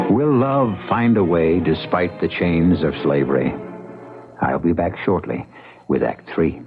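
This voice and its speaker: Deep guy narrating old radio mystery, measured n ominous, music behind him, tinny. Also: gravelly. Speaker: host and narrator. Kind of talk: scripted old-time radio drama narration. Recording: thin phone-like old radio recording.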